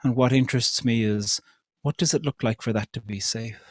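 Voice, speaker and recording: An Irish man speaks at a calm, considered pace, posing question after question with gentle hesitations between them. His voice sits at an everyday middle pitch. The sound is dry and close, with no room echo. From Ireland. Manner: measured, thoughtful, many rhetorical questions and soft hesitations. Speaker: speaker at an interfaith event. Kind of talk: reflective public talk.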